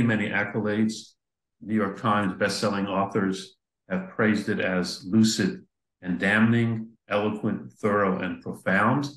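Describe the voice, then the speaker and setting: A man's voice: on the low side, echoey room, low-quality recording. An older man hosting an interview over a video call, giving a formal introduction with some fillers. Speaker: interview host. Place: office indoors. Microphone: computer mic on video call.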